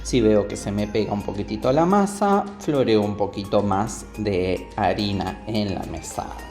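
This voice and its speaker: Male cooking instructor, Rioplatense accent, slightly low soft-edged voice, instructive delivery, music behind. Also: moderate pace. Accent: Rioplatense Spanish. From Argentina. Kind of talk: step-by-step recipe demonstration. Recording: roomy echo, music behind.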